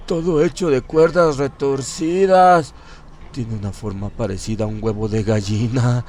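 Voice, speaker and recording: A man playing a terrified rural man in a horror radio drama, anguished and halting as he raves. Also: fairly high pitch, slight background noise. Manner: anguished, halting, repeating words. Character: terrified rural man raving at a monstrous sight.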